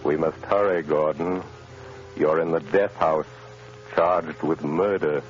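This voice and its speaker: Sinister phantom avenger, very slow and deep, hollow voice, over music on a dull old broadcast. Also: male voice, steady tone. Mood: commanding. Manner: slow, booming, cackling laugh. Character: sinister unseen male avenger, mocking, commanding. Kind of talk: 1930s radio acting.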